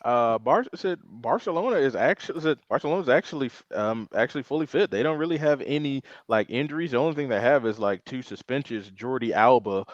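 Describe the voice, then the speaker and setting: A man co-hosting a sports podcast, giving updates in a loose, halting way with many restarts. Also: medium pitch, expressive, no room sound, highs cut off. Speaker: co-host giving injury updates. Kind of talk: casual sports podcast chat.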